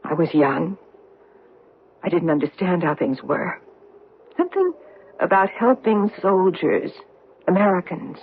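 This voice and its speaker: An actress in an old-time radio drama playing a vengeful survivor confronting her enemy with deliberate, accusing intensity. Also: fairly low pitch, lively intonation, slight background noise. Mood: bitter, haunted. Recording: muffled vintage radio recording.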